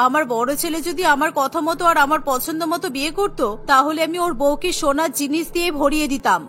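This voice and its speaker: A woman's voice: high-pitched, steady tone, quick pace, a bit bright, faint music behind. A woman voicing a harsh, greedy middle-aged mother-in-law in a cartoon, scolding and disdainful. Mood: disgusted, grudging. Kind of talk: Bengali cartoon melodrama voice acting.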